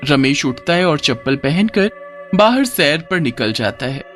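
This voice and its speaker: A man's voice: fairly high pitch, big pitch swings, unhurried, fairly bright tone, soft background music. A man narrating a scripted, dramatic story as a storyteller.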